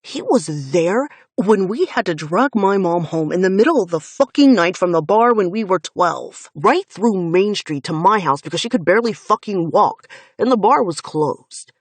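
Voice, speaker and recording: A woman's voice: lowish voice, sing-song, moderate pace, darkish tone, husky, dry recording. A woman voicing a furious protective young man. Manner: intense, swearing, emphatic.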